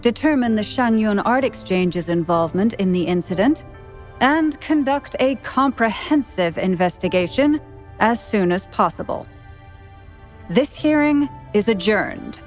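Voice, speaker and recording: An actress playing a composed woman presiding over a hearing in a game, formal and measured. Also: mid-range voice, animated, lightly acted. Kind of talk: game voice acting. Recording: game audio with faint music underneath.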